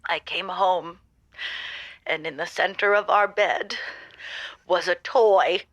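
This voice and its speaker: Suspicious, lovelorn female caller; halting, melodramatic, bright tone, dry close sound. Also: lowish voice, steady tone, no room sound, dull audio. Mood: wounded, indignant. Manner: halting, stammering, fretful, melodramatic. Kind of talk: improvised comedy call-in radio show.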